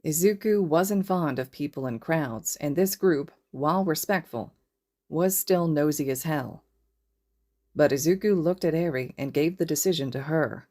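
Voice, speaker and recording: Low-pitched woman narrating fiction. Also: a little slow, mellow. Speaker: podfic narrator.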